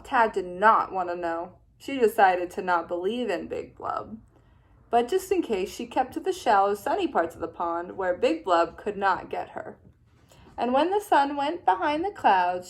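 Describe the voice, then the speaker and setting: A woman teacher reading a children's picture book aloud with expressive, playful storytelling. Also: mid-range voice.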